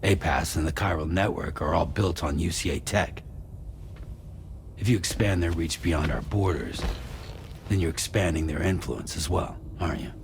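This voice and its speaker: An actor playing a wary, blunt courier in a game, questioning things in a terse, skeptical way. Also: male voice, deep voice, moderate pace, gravelly, slight background noise. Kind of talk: scripted game cutscene dialogue.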